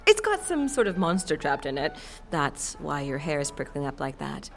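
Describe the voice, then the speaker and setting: A playful half-demon merchant woman voiced by an actress with a low, sultry voice. She sells her wares with coy, theatrical flourishes in an audio drama, and music plays behind her. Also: huge pitch swings, moderate pace, gravelly, heavily acted. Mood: alluring sales patter. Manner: coy, theatrical saleswoman with chuckles and purring flourishes.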